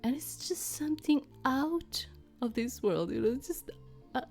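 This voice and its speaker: A woman's voice: high voice, expressive, measured pace, a little mellow, soft background music. A middle-aged woman hosting a gaming channel, chatting casually and rambling, with hesitant explanations to her viewers.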